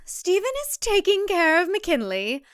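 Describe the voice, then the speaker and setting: A woman voicing a stern, controlling cult matriarch in a thriller story, sharp and falsely sweet. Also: extremely high pitch, sing-song, a little slow, no room sound, clean studio sound, pitched above the performer's own voice, exaggerated voice. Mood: commanding.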